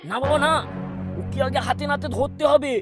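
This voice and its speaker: High-pitched indignant village father-in-law played by a woman. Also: fairly even intonation, normal speed, music in the background, natural voice. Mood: scheming. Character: older village father-in-law, indignant yet cautious schemer. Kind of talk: cartoon dialogue.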